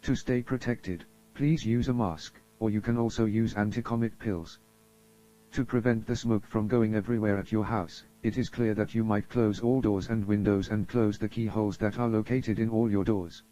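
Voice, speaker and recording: A man's voice: fairly low pitch, steady tone, moderate pace, mellow. A man reading a scripted emergency broadcast as an announcer, formal and solemn in manner. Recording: dull lo-fi audio.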